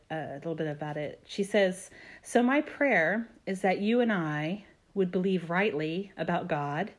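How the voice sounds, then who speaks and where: A woman co-hosting a podcast with her husband, chatting casually and acting out her own thoughts with animation. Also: fairly low pitch. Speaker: podcast co-host. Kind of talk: casual chat.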